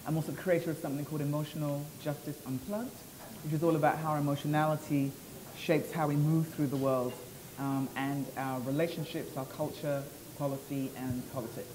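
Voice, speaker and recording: A British woman introducing herself briskly on a panel, rattling off her work. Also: low voice, long reverb tail, low-quality recording. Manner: brisk, energetic, lists achievements. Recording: loud crowd behind.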